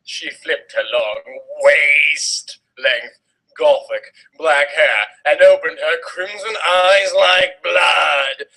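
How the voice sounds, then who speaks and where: A man's voice: fairly high pitch, very slow, gravelly, sharp tone, poor audio. A man giving a theatrical, exaggerated and melodramatic dramatic reading of a story. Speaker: dramatic reader of fan fiction.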